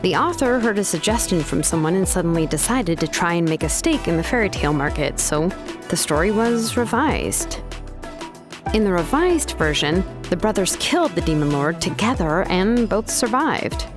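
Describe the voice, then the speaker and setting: A woman's voice: on the low side, expressive, normal speed, music in the background, close to an everyday voice. An actress voicing a laid-back, practical young woman in an anime video game, explaining things casually with small hesitations. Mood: matter-of-fact.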